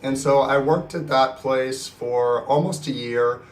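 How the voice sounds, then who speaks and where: A man's voice: mid-range voice, measured pace. A man in his twenties, sick and talking with a nasal, scratchy voice, in an upbeat, rambling way as he tells his story in a casual vlog. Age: 20s. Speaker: personal finance vlogger. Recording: roomy echo, distant mic, faint guitar music.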